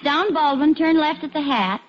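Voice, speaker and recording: An actress playing a sensible, patient, gently wry housewife in a 1940s radio sitcom. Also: female voice, high-pitched, normal speed, natural voice. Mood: polite, attentive. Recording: muffled, slightly noisy 1940s radio recording.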